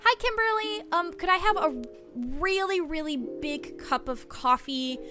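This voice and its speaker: A woman's voice: extremely high pitch, unhurried, music in the background, voice pushed up. A woman playing a chatty, friendly small-town reporter who rambles and interrupts herself in a tabletop story game. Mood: chirpy, chatty.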